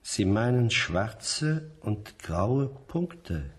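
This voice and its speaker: An actor in a German radio play playing a research scientist who questions formally and politely. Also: male voice, fairly low pitch, sing-song, very slow, full character voice. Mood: businesslike. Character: male research scientist, probing, polite and businesslike.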